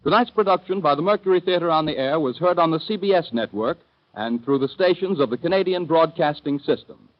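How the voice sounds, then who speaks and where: A man with an American accent announcing the credits for a 1930s radio broadcast in a crisp, formal delivery. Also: on the high side, expressive, a little fast, dull audio.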